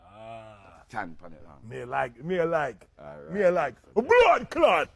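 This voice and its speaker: A man's voice: fairly high pitch, huge pitch swings, slow, vocal fry, a bit bright, dry recording. A man in playful patois studio banter. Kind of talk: casual recording-session chat.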